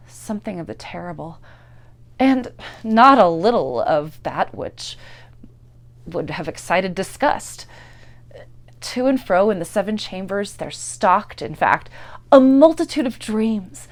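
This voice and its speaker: A woman narrating a classic literary tale in a theatrical, deliberate way, swelling loud and dropping to hushes. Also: medium pitch. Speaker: actor and narrator. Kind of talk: dramatic literary story narration.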